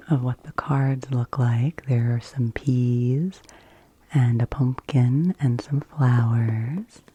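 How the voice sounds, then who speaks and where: A woman ASMR host speaking gently in a breathy, unvoiced whisper, explaining things casually and unhurriedly, close to the microphone. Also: very deep voice, a little hiss or hum, poor audio.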